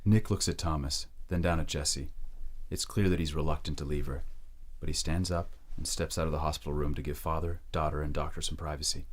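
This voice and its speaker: A man's voice: extremely low pitch, steady tone, a little fast. A man narrating an audio drama from a script.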